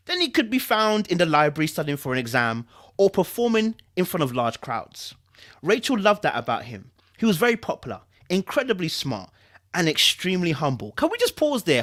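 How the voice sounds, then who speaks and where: A man hosting a dramatic book reading, mixing narration with animated, chatty commentary and repeating phrases for emphasis. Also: sits high. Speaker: host reading a book aloud.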